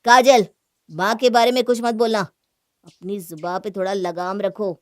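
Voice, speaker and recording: A woman's voice: medium pitch, steady tone, normal speed, darkish tone, dry recording, lightly acted. A woman voicing an irritated, weary young husband in a cartoon moral story, scolding in a curt, exasperated way. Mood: stern, annoyed.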